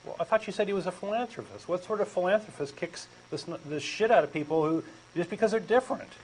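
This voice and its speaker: A man's voice: high-pitched, quick pace, slight background noise, low-quality recording. A man with a Texan accent reading a comic dialogue as a hesitant, skeptical questioner who stammers. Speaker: co-host doing a comic dramatic reading.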